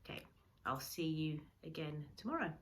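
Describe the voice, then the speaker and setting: A woman's voice: deep voice, very animated, normal speed. A woman, a primary school teacher, talking informally to her pupils for a filmed story time, addressing them directly. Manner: addresses children directly with questions.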